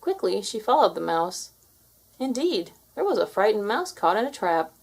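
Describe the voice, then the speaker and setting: A woman's voice: medium pitch, very animated, moderate pace, gravelly, slightly rough. A woman narrating a children's fairy tale as a scripted read-aloud.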